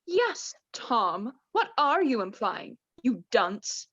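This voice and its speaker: Woman as a high-voiced, doting New Age wife. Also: very animated, fairly bright tone, slightly rough, no room sound, dull audio, heavily acted. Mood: prim, snippy. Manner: affectedly soothing therapy-speak, drawn-out. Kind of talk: student radio play acting.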